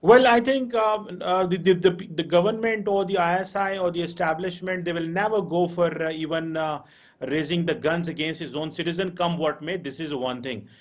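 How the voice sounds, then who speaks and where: A man with a Pakistani accent giving analysis on a live TV debate, halting and often repeating words. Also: sits high, dull audio. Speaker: panel analyst.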